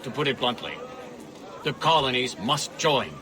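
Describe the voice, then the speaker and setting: An actor playing an eloquent, self-assured colonial statesman in a video game cutscene, oratorical and measured. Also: mid-range voice, natural voice. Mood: rousing.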